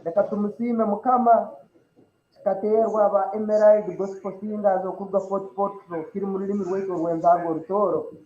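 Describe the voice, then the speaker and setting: A man's voice: sits high, flat delivery, darkish tone, lo-fi sound. Ugandan man hosting in an echoey room. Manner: halting, with frequent fillers and repeated words. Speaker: host. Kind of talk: diaspora community broadcast, mixing Rutooro and English.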